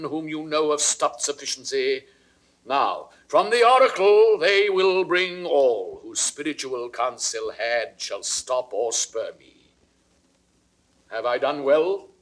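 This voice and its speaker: A man's voice: on the high side, fairly bright tone. An actor playing a jealous, imperious king in declamatory, haughty Shakespearean audio drama. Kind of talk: classical Shakespearean stage acting.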